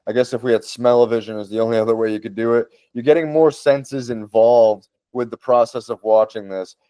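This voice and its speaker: A man's voice: medium pitch, fairly even intonation, fairly quick, mellow, no echo. A man with a Boston accent, a podcast host walking through social media tools in casual talk. He speaks with enthusiasm and repeats words for emphasis.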